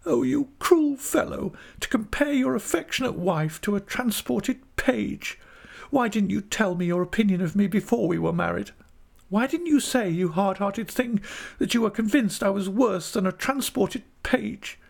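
A man's voice: high-pitched, animated, unhurried, vocal fry, a little mellow, pitched above the performer's own voice, exaggerated voice. A man voicing a childish, tearful young wife in an audiobook, exclaiming in wounded, dramatic reproach. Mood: sobbing, wounded. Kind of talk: audiobook character voice.

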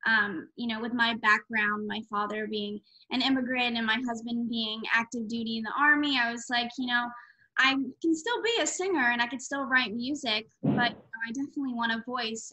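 Bright, eager young woman guest. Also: fairly high pitch, low-quality recording. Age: 20s. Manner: eager, chatty, with fillers and restarts. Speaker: guest, singer-songwriter and commentator. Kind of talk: casual interview.

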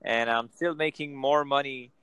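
A man's voice: medium pitch, fairly even intonation, moderate pace, a loud crowd. A man giving casual motivational advice on a video call, filling his talk with "you know" and "right?". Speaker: guest.

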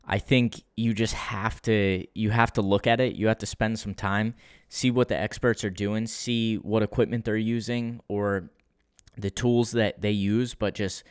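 A young man talks through a solo podcast episode in a flat, unexcited, conversational way, often restarting his sentences. His voice sits low and comes across smooth and clear. The sound is close and dry, with no room around it. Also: measured pace, no room sound. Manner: monotone, low-key and unexcited. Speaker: podcast host.

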